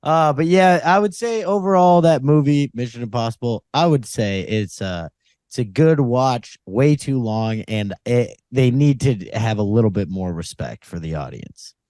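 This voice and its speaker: A man's voice: medium pitch, lively intonation, moderate pace, a little mellow, no room sound, studio quality. A man co-hosting a comedy podcast, trading loose banter and laughing often. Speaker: podcast co-host. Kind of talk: casual comedy chat.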